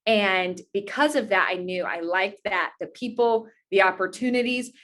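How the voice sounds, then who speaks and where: A woman's voice: mid-range voice, moderate pace, fairly bright tone. A woman in her twenties talking earnestly and at length as an interview guest, in a conversational, anecdotal style. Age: 20s. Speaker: interview guest, pageant titleholder.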